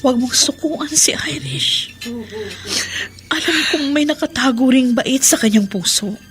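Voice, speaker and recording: A woman playing a humble middle-aged washerwoman mother in a Tagalog radio drama, gentle, pleading and sorrowful. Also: sits high, unhurried.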